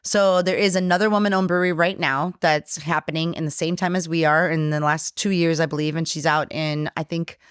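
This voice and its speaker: A woman whose first language is Polish, chatting as a guest on a bar podcast and telling animated stories with acted-out quotes. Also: low-pitched, a little fast, hardly any pauses, smooth, no echo, studio quality. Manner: animated storyteller, acts out quotes, swears casually. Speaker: guest, brewery co-owner. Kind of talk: casual bar podcast chat.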